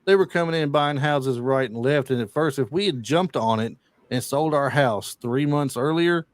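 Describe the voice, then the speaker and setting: A man's voice: mid-range voice, normal speed, no echo. A man hosting a casual podcast, retelling movie scenes and reading off film trivia with lots of fillers. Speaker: podcast host. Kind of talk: casual chat.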